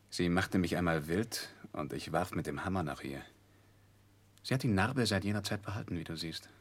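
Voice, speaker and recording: A suave, careless young gentleman in an old German radio play. His voice is very low and husky. He talks with nonchalant confidence and a theatrical touch. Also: heavily acted.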